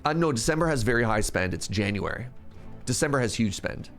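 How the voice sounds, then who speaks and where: A man's voice: mid-range voice, expressive, brisk, a bit bright, soft background music. A man streaming a game, chatting casually and rambling between laughs, sounding sniffly and congested. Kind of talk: casual live-stream chat while gaming.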